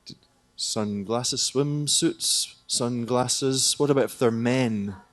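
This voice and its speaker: A man giving a lively, jokey talk at a conference hall, often asking the audience questions. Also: medium pitch, slow pace. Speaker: conference presenter, teacher trainer.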